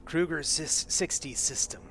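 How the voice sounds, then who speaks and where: A man's voice: fairly high pitch, measured pace, a bit bright, a little hiss or hum. A man reading in character as a grim male marine sergeant giving a terse final report in a tabletop game.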